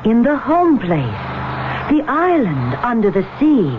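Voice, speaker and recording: A serene, proud undersea woman, who speaks slowly and with solemn formality. Her pitch moves expressively through each line. It sounds like a muffled, noisy vintage radio broadcast. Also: huge pitch swings. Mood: gently reassuring. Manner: solemn, deliberate, formal phrasing. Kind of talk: old-time radio drama acting.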